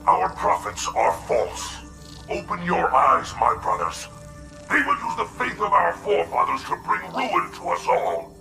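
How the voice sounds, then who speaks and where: An actor playing a defiant alien heretic leader in a game cutscene, making an urgent, declamatory appeal. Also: male voice, sits low, sing-song, unhurried, vocal fry, echoey room, music in the background, exaggerated voice, low-quality recording. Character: impassioned rebel male alien heretic leader. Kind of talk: dramatic game cutscene oration.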